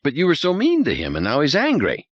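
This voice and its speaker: Man doing a high, pleading, anxious man. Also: huge pitch swings, fast talker, dry recording, lo-fi sound.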